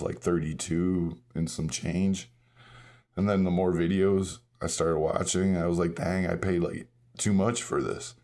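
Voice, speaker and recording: Hoarse male vlogger, very low, loose self-correcting chat. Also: a little mellow. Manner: rambling, self-correcting, chuckles at himself. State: hoarse, partly lost voice. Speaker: coin-collecting vlogger. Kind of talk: casual show-and-tell vlog.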